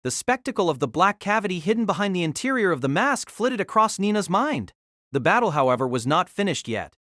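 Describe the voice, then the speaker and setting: A man's voice: sits high, sing-song, brisk, bright, forceful tone, no room sound, studio quality. A man reading scripted dramatic narration for an audio drama.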